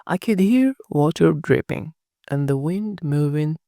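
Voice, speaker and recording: A narrator telling a first-person horror story in a hushed, dramatic scripted reading. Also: medium pitch, animated, measured pace, a little hiss or hum.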